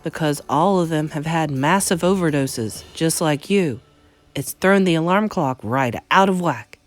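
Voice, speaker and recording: Storybook grandmother, played by a woman: elderly, unruffled, dryly matter-of-fact, low register. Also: measured pace, soft background music. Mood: knowing. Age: older. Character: calm, brisk old grandmother, dryly knowing.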